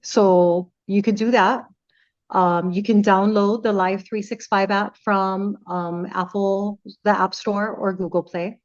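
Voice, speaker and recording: A woman radio host talks in a chatty, playful way, walking through each step like she is guiding a listener. Her voice sits in a middle pitch for a woman. The recording is a little dull and lo-fi. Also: steady tone, slow. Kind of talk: casual chat.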